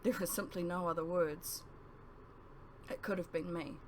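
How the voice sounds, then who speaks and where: A woman reading a first-person novel aloud as scripted narration, with emphatic phrasing and dramatic pauses. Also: lowish voice, fairly even intonation, slight background noise.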